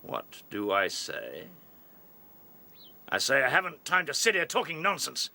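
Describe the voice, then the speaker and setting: Menacing, gravelly rabbit general. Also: male voice, mid-range voice, huge pitch swings, measured pace, sharp tone, exaggerated voice. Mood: threatening contempt. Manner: commanding, contemptuous. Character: ruthless, menacing rabbit general, male, battle-hardened. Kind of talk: radio drama acting.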